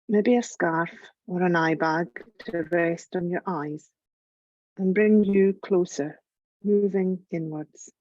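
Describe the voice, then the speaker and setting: A woman leading a guided yoga nidra meditation in a gentle, calm, measured voice with long pauses. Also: mid-range voice, dry recording, muffled recording. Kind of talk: slow guided relaxation meditation narration.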